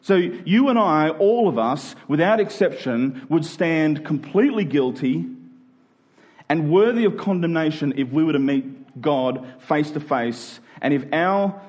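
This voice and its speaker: A man preaching to a congregation in an emphatic but conversational way, stretching key words slowly and slipping into informal asides. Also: a little high, expressive, darkish tone, lo-fi sound. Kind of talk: sermon to a congregation.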